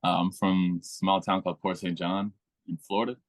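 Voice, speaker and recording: A man, the musical guest, answering interview questions in a low-key, hesitant way with fillers. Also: little pitch movement, a little fast, dry recording.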